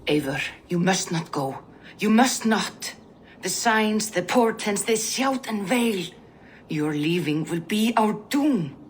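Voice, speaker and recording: An actress playing an ominous female seer in a video game, speaking slowly and intensely, sometimes dropping to a whisper. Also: medium pitch, animated, a little hiss or hum. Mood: foreboding. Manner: slow, intense prophetic delivery, dropping to whispers. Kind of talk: video game cutscene acting.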